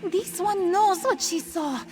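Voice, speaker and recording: A woman's voice: extremely high pitch, slow pace, music in the background. A woman voicing an anxious, insistent old feline grandmother in a video game, referring to herself in the third person. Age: older.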